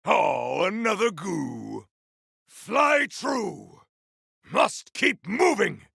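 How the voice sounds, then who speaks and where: Game hero: grandiose, vengeful elemental warrior, very gravelly and forceful, theatrical archaic combat lines. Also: male voice, high voice, very animated, extremely slow, no echo, studio quality, full character voice. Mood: grim, brooding. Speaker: voice actor for a video game character. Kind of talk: video game combat barks.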